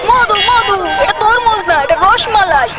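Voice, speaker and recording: Street hawker for comedy cartoon, woman performer: very shrill pitch, fast indignant haggling, busy crowd and music. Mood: hawking. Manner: repetitive hawking, haggling, indignant. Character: brash, scornful male street fruit hawker. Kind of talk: exaggerated comedy cartoon dubbing. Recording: loud music and crowd noise behind.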